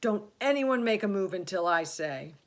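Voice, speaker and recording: A pompous, panicky fire chief in a children's story, as a woman narrator puts him on. He blusters and exclaims in a raised, loud voice. His pitch swoops as alarm takes over. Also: lively intonation, moderate pace, close to an everyday voice.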